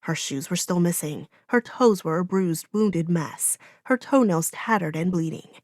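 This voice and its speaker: A woman's voice: on the low side, expressive, unhurried, a little mellow, dry recording, studio quality. A woman reading a fantasy audiobook as narrator, in dramatic, solemn storytelling.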